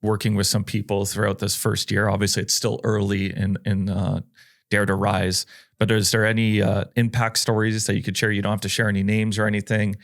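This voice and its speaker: A man with a very low voice hosts a relaxed interview. His questions wander and are padded with "like" and "um". He talks at an easy conversational pace. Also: a little fast, vocal fry. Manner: rambling, filler-heavy questions. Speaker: podcast host.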